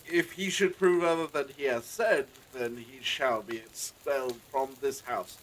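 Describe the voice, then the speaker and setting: A man's voice: mid-range voice, measured pace, a bit bright, slight background noise, natural voice, low-quality recording. A man playing a courteous, genteel master of the house in a period audio drama, formal and politely apologetic. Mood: gracious, formal.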